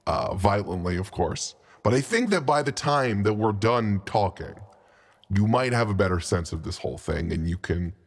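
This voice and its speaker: A man's voice: on the low side, full character voice. A man playing a chatty undead lich bureaucrat in improvised tabletop roleplay, faux-friendly and defensive, stammering and repeating himself. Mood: affable, smug.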